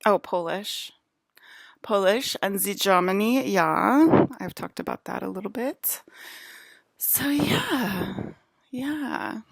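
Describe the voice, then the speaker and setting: A woman's voice: medium pitch, expressive, slow pace, vocal fry, no room sound. A woman in her forties from New Jersey, rambling through a casual, chatty monologue that keeps breaking off and slipping into whispered asides. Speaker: vlogger.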